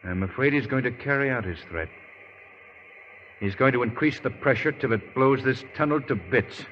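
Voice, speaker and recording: An actor playing an earnest, protective gentleman hero with an American accent, acted dramatically in 1930s radio style. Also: mid-range voice, normal speed, lightly acted. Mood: grave, tender. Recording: muffled old radio recording with hall reverb.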